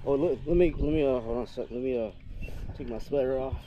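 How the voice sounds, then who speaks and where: A man's voice: medium pitch, quick pace, lo-fi sound. A man fishing with a friend from a small boat, chatting in an easygoing way. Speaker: fishing partner. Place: small boat on a river, outdoors. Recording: distant voice with water noise.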